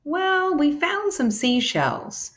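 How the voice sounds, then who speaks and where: A woman's voice: high voice, huge pitch swings, slow pace, a bit bright, pitched above the performer's own voice, heavily acted. A woman voicing an eager, friendly cartoon math symbol in a children's book, with a perky, exclamatory delivery.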